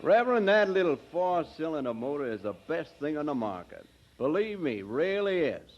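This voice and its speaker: A man's voice: on the high side, very animated, moderate pace, lo-fi sound. An actor playing an eager, folksy small-town used-car salesman who talks persuasively in an audio drama. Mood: reassuring.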